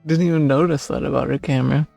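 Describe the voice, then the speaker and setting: A woman streamer reacting casually to a game in a husky, gravelly voice. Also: a bass voice, fairly even intonation, fast talker, dark tone, no room sound, soft background music.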